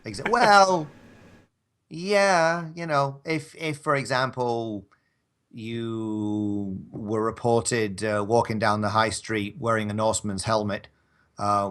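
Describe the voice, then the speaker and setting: A man's voice: lowish voice, a bit bright. A man co-hosting a casual talk show, bantering about films in a rambling, wry, conversational way. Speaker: co-host of an audio drama talk show.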